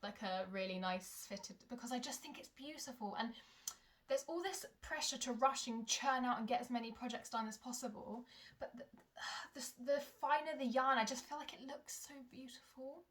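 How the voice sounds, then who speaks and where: A woman's voice: on the high side, moderate pace, fairly bright tone. A young woman vlogging about her knitting at home, chatting casually and rambling, often correcting herself mid-sentence. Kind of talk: casual chat to camera. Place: home in Germany.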